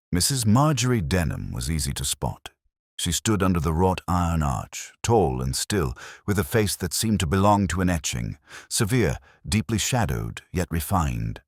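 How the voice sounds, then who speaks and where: A man narrates a period mystery in a very deep voice. His delivery is measured and literary, yet the pitch moves expressively. The sound is dry, with hardly any room in it. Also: no echo. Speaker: audiobook narrator. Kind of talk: scripted story narration in first person as Doctor Watson.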